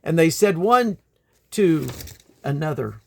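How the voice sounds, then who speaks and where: A man reading the Bible aloud in a deliberate, expressive way. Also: fairly high pitch, creaky. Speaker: scripture reader.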